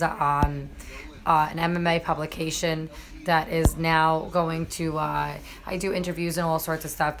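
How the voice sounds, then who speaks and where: A slightly hoarse woman hosting a casual live stream about fights, chatting to viewers with lots of fillers. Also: low voice. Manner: chatty, many fillers, addresses viewers directly. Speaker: MMA journalist and live-stream host.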